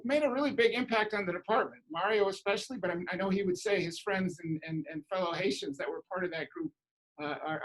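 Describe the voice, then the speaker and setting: A man hosting an awards ceremony over a video call, speaking informally with halting, hesitant phrasing. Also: sits high, a bit bright, poor audio. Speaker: academic department head hosting awards. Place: indoors, virtual event on video call.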